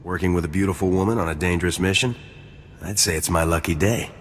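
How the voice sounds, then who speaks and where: A man's voice: low-pitched, fast talker, a bit bright, in a large hall, a little hiss or hum. A man playing a brash, cynical army lieutenant in a video game, curt, cocky and insolent. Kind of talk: scripted game dialogue.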